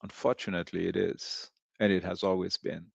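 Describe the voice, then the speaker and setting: A man from Haiti hosting a commentary monologue, speaking deliberately with frequent pauses and fillers. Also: deep voice, little pitch movement, creaky, breathy edge, no room sound, muffled recording. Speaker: host of a commentary show. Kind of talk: slow reflective commentary monologue.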